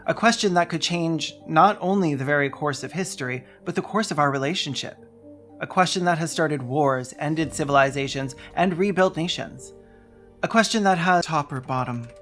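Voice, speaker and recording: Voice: mid-range voice, moderate pace, a bit bright, faint music behind. A video essayist narrating a script in a sardonic, theatrical way with dry humour.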